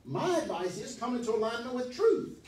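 Animated preacher man in an echoey hall. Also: very high voice, brisk, recorded from a distance, a little hiss or hum. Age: 40s. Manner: animated, emphatic, pacing the stage. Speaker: pastor. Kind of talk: sermon. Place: church hall, medium-sized, indoors. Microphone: handheld mic.

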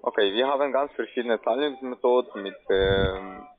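Male phone salesman, medium pitch, foreign accent, halting and repetitive delivery, thin telephone sound. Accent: foreign accent in German. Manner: halting, broken non-native German with repetitions. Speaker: telephone sales caller pitching trading investments. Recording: narrow, tinny phone line.

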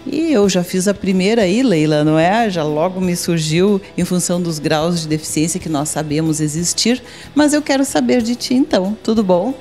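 A Brazilian woman in her forties hosts a TV interview, chatting her way into each question. Her voice sits on the low side for a woman. Faint music runs underneath. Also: normal speed, hardly any pauses, a little mellow. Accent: Brazilian Portuguese. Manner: chatty, leading questions with fillers. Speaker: TV host. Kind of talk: interview hosting. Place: office meeting room. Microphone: handheld mic.

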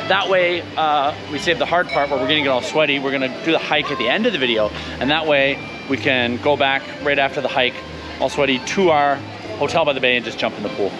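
A man's voice: a little high, poor audio. A man chatting upbeat and directly to viewers as a travel vlogger, walking outdoors through a town and up a mountain trail. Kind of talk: casual travel vlog narration.

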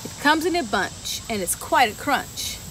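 A woman's voice: high voice, sing-song, a little slow. A woman reading a picture book aloud to children on a garden bench outdoors, playfully asking them questions between the rhymes. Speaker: children's story time reader. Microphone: camera a few feet away. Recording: outdoor recording with clear insect noise.

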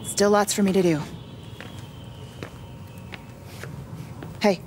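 An actress playing a wry, weary virologist in a video game, speaking in a naturalistic, matter-of-fact way. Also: female voice, medium pitch, fairly even intonation, quick pace, darkish tone, slight background noise, lightly acted. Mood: weary, pleading. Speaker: doctor. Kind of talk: naturalistic game cutscene acting.

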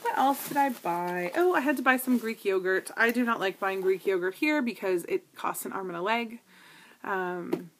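Brief: woman in her 30s, from Canada, chatty home vlogger, tablet mic with faint hiss, mid-pitched voice. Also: expressive, normal speed. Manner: chatty, lots of ums, asides to her device. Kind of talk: casual grocery haul vlog. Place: living room with couch, indoors. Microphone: tablet camera built-in mic.

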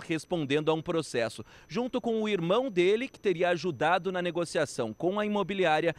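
A Brazilian man with a notably high voice gives a live news report, talking off the cuff and fixing his words as he goes. The sound is close and dry, with no room echo. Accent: Brazilian Portuguese. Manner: unscripted, with self-corrections. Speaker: field reporter.

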